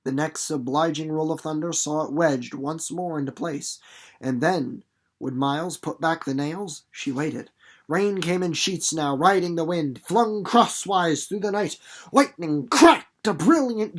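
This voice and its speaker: Playful, folksy man reading and explaining. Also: a little high. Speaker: teacher reading a novel aloud and explaining it. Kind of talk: expressive storybook reading and casual explanation.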